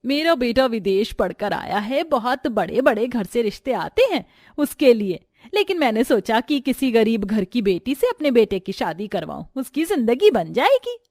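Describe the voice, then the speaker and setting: A woman acts a haughty, middle-aged mother of the groom in a family drama. She talks down to people in a fairly high voice, recorded dry. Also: big pitch swings, normal speed, darkish tone, no echo. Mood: boastful. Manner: condescending.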